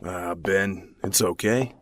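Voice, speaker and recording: A man's voice: sits low, takes it slowly, slight background noise. A man playing an easygoing new shop employee in an audio drama, talking in a casual, conversational way. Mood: easygoing, reassuring.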